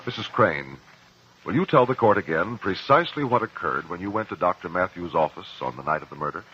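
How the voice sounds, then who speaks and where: An actor in a 1950s radio drama playing a principled cross-examiner, measured, articulate and pressing. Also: male voice, sits low, animated, sharp tone, slightly rough, exaggerated voice. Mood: probing. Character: probing, principled male courtroom cross-examiner. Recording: muffled vintage 1950s radio recording.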